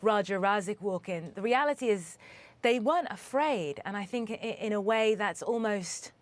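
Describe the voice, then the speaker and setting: Woman from Sudan, news correspondent, mid-pitched, measured and earnest, thinks aloud. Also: expressive, no room sound. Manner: measured, earnest, thoughtful with restarts. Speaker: international correspondent. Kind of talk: news interview answers.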